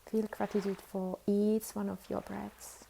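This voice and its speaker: Slow, creaky-voiced woman guiding meditation. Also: medium pitch, level tone, warm tone, slight background noise, poor audio. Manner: soothing, unhurried instruction with long pauses. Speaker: yoga and meditation teacher. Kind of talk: guided meditation.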